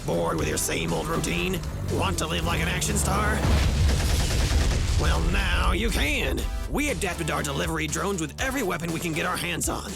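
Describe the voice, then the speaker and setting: A man playing an over-the-top commercial pitchman in a parody radio advertisement, full of salesman hype. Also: sing-song, normal speed, gravelly, loud music behind the voice. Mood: hyped.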